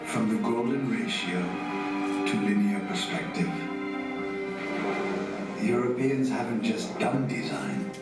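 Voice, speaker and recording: A man reading a scripted promotional film voice-over in measured, deliberate phrases with dramatic pauses. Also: fairly low pitch, lively intonation, distant mic. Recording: film played in echoey room, loud music. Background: loud music.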